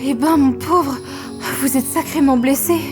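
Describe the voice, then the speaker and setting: A woman playing a gentle, caring hospital visitor in a comedy audio drama. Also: sits high, a little slow, music in the background. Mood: tender.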